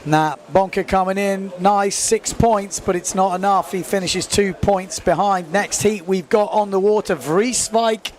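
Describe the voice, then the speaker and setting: A man giving live sports commentary, excitedly calling out scores and time left on the clock. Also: high voice, unhurried, few pauses.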